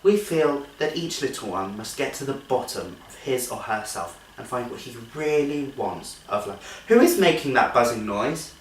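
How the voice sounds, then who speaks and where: A genteel nursery teacher played by a man in a theatrical comic monologue. The delivery is animated and singsong, coaxing sweetly, then scolding with thinly held patience. It is recorded at a distance in an echoey room. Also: mid-range voice, normal speed, hardly any pauses, a little hiss or hum. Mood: bright, coaxing. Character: genteel, strained-cheerful female nursery teacher, patient but exasperated.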